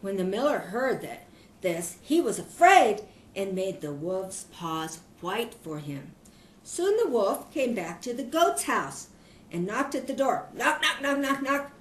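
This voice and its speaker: Slow, expressive older woman reading a story. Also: mid-range voice. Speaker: grandmother reading to a child. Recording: echoey room.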